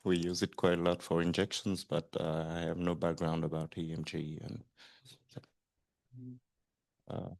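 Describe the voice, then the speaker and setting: A man from Switzerland with a Swiss accent, introducing himself haltingly from across the room. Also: low-pitched, level tone, vocal fry, darkish tone, no room sound. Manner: hesitant, halting.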